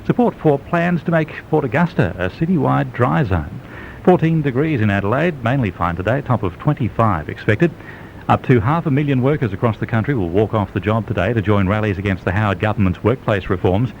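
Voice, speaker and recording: An Australian newsreader, a man with a fairly low voice, reads the bulletin briskly. The radio sound is dull and slightly noisy. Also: lively intonation, vocal fry, a little mellow.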